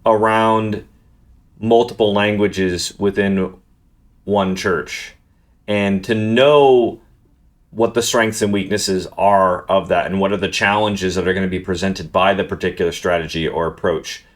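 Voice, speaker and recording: Male book reviewer, low-pitched and earnest, talks off the cuff and stumbles over restarts. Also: steady tone, measured pace. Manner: earnest, thoughtful, restarts words often. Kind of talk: unscripted book review monologue.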